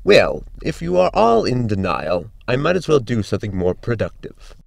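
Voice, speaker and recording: A man playing a pedantic, practical computer-man crewmate in a sci-fi comedy audio drama, deadpan and literal with a gravelly voice. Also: mid-range voice, moderate pace, background noise. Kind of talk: science fiction comedy audio drama acting.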